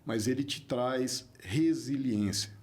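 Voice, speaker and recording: A Brazilian man from the São Paulo state, around his forties, talking as a podcast guest in an animated, emphatic way and repeating his points for stress. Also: medium pitch, slow pace. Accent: São Paulo state Portuguese. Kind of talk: casual interview talk.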